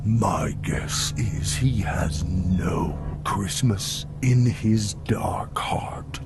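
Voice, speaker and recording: A man voicing a gigantic, gentle abominable snowman in an audio storybook, solemn and gravelly, with a halting, drawn-out delivery. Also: low voice, very noisy, heavily acted. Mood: sorrowful. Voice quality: gravelly, creaky.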